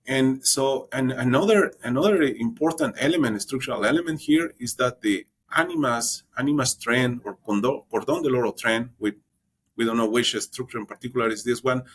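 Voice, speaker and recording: A man of middle pitch talking through technical plans in an interview. He speaks haltingly, doubling words and restarting phrases as he goes. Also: a bit bright, slightly rough. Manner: halting, repeats words while searching for them. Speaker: exploration geologist guest. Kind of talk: interview explanation.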